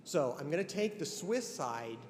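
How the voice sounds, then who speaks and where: A man's voice: fairly high pitch, very animated, a little slow, slight background noise. An older man giving an earnest, conversational ceremonial address, with many restarts. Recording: long hall reverb, faint ambience.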